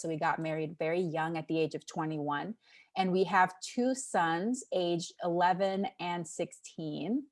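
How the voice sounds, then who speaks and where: A woman in her forties from Texas, a pediatrician giving a friendly, encouraging webinar presentation over a video call. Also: on the low side. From Texas, United States. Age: 40s. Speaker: pediatrician, guest speaker.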